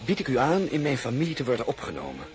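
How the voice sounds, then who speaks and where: An actor playing a deferential, earnest count and royal secretary in a radio drama, speaking with courtly formality. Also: male voice, mid-range voice, wildly swooping pitch, darkish tone. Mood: insinuating, grave. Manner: courtly, formal, measured urgency. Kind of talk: dramatized novel, radio play acting.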